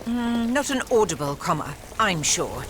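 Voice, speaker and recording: A woman's voice: medium pitch, sing-song, normal speed, a bit bright. Bossy proper lady from the 1920s, radio play, very lilting, noise behind. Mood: prim, insistent. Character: prim, assertive 1920s woman writer correcting a broadcaster. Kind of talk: period radio drama acting.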